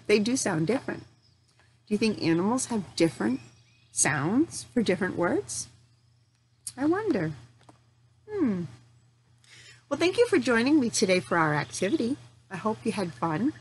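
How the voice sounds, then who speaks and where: Slow-paced woman reading to young children. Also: medium pitch. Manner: simple, deliberate phrasing addressed to small children. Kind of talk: children's read-aloud with questions to young listeners. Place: home room in front of a large window. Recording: steady noticeable background noise.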